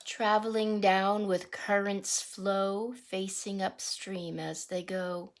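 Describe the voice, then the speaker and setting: A woman reading a rhyming picture book aloud as a teacher would, deliberately, with explanatory asides and questions for young listeners. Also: medium pitch.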